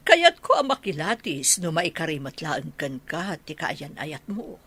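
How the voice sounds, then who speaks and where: A woman's voice: low-pitched, sing-song, a little slow, fairly bright tone, slightly rough, full character voice. An actress with an Ilocano accent playing an older, scheming, insistent mother in a radio drama. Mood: calculating, insistent.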